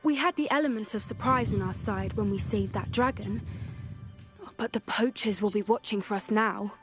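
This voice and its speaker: A woman's voice: mid-range voice, moderate pace, over music, natural voice. A young woman acting an earnest teenage witch who cares for creatures, in a video game. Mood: worried.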